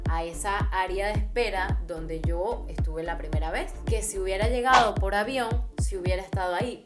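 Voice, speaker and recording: A young woman from Venezuela with a Venezuelan Spanish accent, casually retelling a trip for her vlog. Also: mid-range voice. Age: young adult. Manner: chatty, lively retelling with quoted dialogue. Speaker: travel vlogger. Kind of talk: casual storytelling. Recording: music playing underneath.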